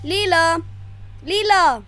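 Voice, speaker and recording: Woman acting an eager, high-voiced little boy. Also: animated, slow, a bit bright, dry recording, faint music behind, heavily acted. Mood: excited. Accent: Swiss German. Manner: eager, exclamatory. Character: excited, curious young boy adventurer. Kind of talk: children's audio play.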